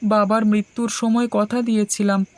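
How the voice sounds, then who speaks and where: A woman's voice: medium pitch, little pitch movement, normal speed, a little mellow, a little hiss or hum, close to an everyday voice. A woman voicing a humble, honest, hardworking young boy, the youngest brother in a cartoon folktale. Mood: humble, earnest. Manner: meek, earnest, polite.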